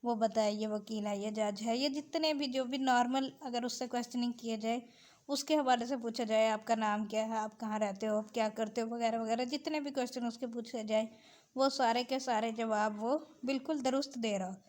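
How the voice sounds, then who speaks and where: Female lecturer, Pakistani accent, slightly high pitch, step-by-step teaching delivery with code-switched English terms. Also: fairly even intonation, normal speed, darkish tone. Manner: mixes Urdu with English terms. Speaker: law lecturer. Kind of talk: explanatory lesson, reading out and explaining.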